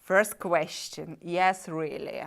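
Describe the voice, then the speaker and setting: A woman's voice: on the low side, steady tone, slow, creaky. A woman playing a wisecracking demon sub-agent who deals in souls with breezy, mocking sales patter in a dramatic script reading. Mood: breezy, condescending.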